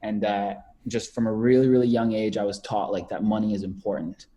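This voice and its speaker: A man's voice: lowish voice, fairly even intonation, brisk, warm tone. A man in his twenties, a podcast guest talking casually over a video call, rambling with many fillers and repeated words. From Canada. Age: 20s.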